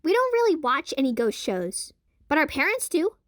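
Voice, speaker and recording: A woman voicing excitable, nerdy young twin girls in an audio drama. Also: high-pitched, normal speed, no echo, clean studio sound, pitched above the performer's own voice. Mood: eager, chatty.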